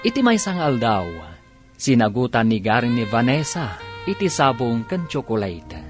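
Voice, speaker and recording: A man's voice: mid-range voice, very animated, normal speed. A man narrating an Ilocano radio drama in a scripted, dramatic announcing style. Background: music bed.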